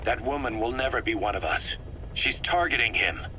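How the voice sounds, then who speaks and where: An actor playing a hard, suspicious, hot-tempered military commander in a video game, terse and insistent. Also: male voice, medium pitch, fairly quick, bright, forceful tone, low-quality recording. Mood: suspicious, angry. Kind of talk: dramatic game voice acting. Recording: faint background noise.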